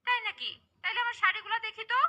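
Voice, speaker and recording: A woman's voice: very high-pitched, very fast, bright, forceful tone, muffled recording, exaggerated voice. A woman voicing a gullible, greedy wife and mother in a cartoon, pushy and dramatic. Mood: excited, greedy. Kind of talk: cartoon character dialogue.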